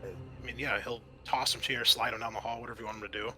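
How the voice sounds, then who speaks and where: A man acting as game master, describing scenes in a conversational, improvised, halting way for a tabletop roleplaying game. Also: medium pitch, fairly even intonation, bright, forceful tone.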